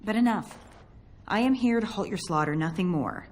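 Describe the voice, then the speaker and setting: An actress playing a haughty mage enforcer in a video game, cold, disdainful and commanding. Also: female voice, medium pitch, expressive, moderate pace, close to an everyday voice. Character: haughty female mage enforcer from a slaver empire. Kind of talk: video game cutscene acting.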